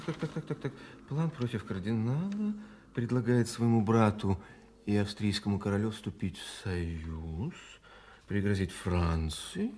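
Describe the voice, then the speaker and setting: A man's voice: on the low side, a little mellow, a little hiss or hum. An actor playing a petulant, suspicious, giggling French king in a radio drama, with a theatrical, capricious manner. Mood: brooding, then mocking. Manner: theatrical, capricious, mood-swinging.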